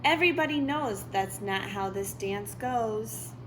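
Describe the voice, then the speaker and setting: A woman's voice: mid-range voice, animated, unhurried, fairly bright tone, a little hiss or hum, rough recording. A woman voicing a friendly picture-book turtle who is easily dismayed, in a sing-song manner.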